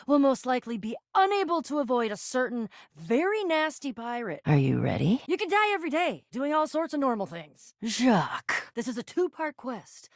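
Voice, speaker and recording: Excitable, high-voiced plucky adventurer. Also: female voice, no echo, studio quality. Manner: dramatic quest-speak mixed with stammering asides. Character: plucky, earnest, excitable, slightly insecure adventurer. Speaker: video game voice actor. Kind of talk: animated video game character acting.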